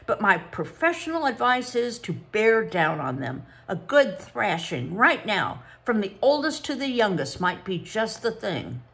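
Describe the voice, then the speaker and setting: A woman voicing an officious woman psychologist who speaks briskly and grows shrill and spiteful, higher and louder than her own reading voice. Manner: brisk, schoolmarmish, turns shrill when excited.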